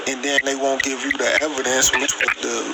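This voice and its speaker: A man's voice: medium pitch, little pitch movement, a little fast, creaky. A man calling in a complaint by phone, recounting incidents with repetition and emphatic wording. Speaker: caller making a complaint. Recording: noisy, radio-like phone call. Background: loud background noise.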